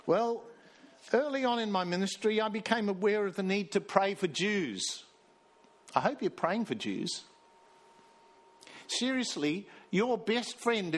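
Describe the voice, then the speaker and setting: A man's voice: high-pitched, fairly bright tone. A man from Australia, preaching a sermon as minister and telling a story, reading quoted passages aloud with emphasis. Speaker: pastor.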